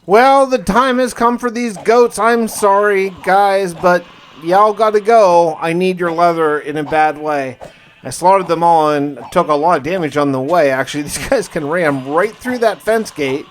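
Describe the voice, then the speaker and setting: Higher-pitched man narrating gameplay over noise. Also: measured pace. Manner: folksy exclamations and mild slang. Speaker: gaming video host. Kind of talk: casual first-person gameplay commentary.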